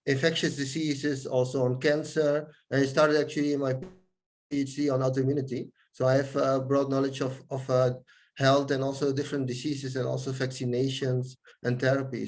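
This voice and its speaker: Soft-spoken Dutch-accented man, flat delivery. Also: mid-range voice. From Suriname, raised in the Netherlands. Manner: earnest, many hesitations and restarts. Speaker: guest, scientist and yoga teacher. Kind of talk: interview answers.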